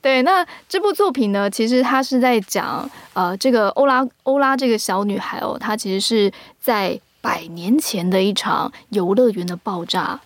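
Female, Taiwanese Mandarin accent, mid pitch, dry recording. Guest producer talking through a story. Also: normal speed, hardly any pauses, no echo. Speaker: guest, audio drama producer. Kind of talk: explaining a story in podcast conversation.

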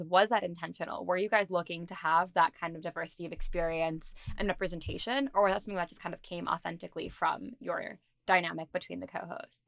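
A woman's voice: on the low side, fairly bright tone, no echo, studio quality. A young woman from Long Island interviewing a guest, enthusiastic and asking long rambling questions. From Long Island, New York, United States.

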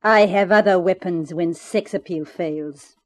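An actress playing a cold, cruel female gangster, formal, deliberate and threatening, in a 1950s radio crime drama. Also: fairly low pitch, lightly acted. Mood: icy threat.

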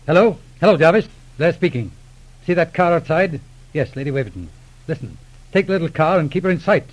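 An actor playing a brisk, earnest police inspector in an old radio drama, with an urgent, formal, clipped manner. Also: male voice, on the high side, animated. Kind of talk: 1940s radio drama acting. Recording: dull old radio recording.

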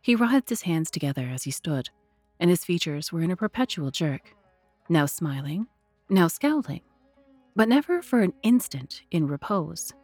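A woman narrating a literary tale as a storyteller, measured, deliberate and expressive. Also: on the low side, slightly rough, no room sound, soft background music. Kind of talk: dramatic scripted literary narration.

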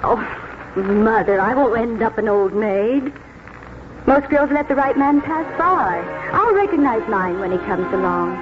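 A woman's voice: fairly high pitch, lively intonation, unhurried. An actress in a 1940s radio drama playing an eager, romantic young woman schoolteacher with an earnest, dreamy way of talking. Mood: dreamy, excited. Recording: tinny 1940s radio recording with music.

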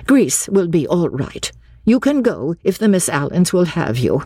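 A woman's voice: on the low side, big pitch swings, normal speed, dark tone, breathy edge, no room sound, clean studio sound, voice pushed up. Brittle, guarded young woman, as a woman reads her.

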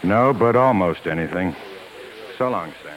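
An American actor playing a guilt-ridden, proud husband and struggling performer in a 1950s radio drama, speaking earnestly and hesitantly. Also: male voice, low-pitched, lively intonation, a little slow, a loud crowd, rough recording. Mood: subdued. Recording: hissy 1950s radio broadcast recording.

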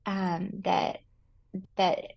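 Slightly low, husky, creaky woman's voice. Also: flat delivery, very slow, muffled recording. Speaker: reporter, interview guest. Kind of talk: explanatory interview answers.

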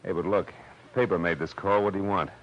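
An actor playing a wry, tough-talking, slangy private eye in 1940s radio detective style. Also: male voice, sits low, fairly even intonation, fast talker, muffled recording. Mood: wry, businesslike. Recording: noisy old 1940s radio recording.

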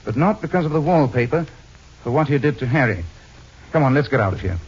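An actor playing a suave, dryly witty gentleman detective in an old radio drama, urbane and deliberate. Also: medium pitch, expressive, background noise, natural voice. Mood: thoughtful, deliberate. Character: suave, wry gentleman detective, cool and unhurried. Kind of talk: 1940s-50s radio acting. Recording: muffled old radio recording.

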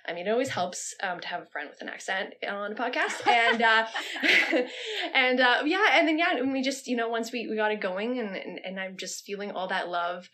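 A woman's voice: fairly high pitch, normal speed, sharp tone. A woman with a Canadian accent, a podcast co-host who earnestly and rather ramblingly explains how she came to love her subject. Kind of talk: casual interview answer.

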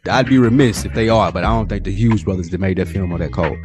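A man's voice: low-pitched, quick pace. A man co-hosting a podcast in casual, slangy conversation, often repeating phrases for emphasis. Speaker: podcast co-host. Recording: music behind the voice. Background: music.

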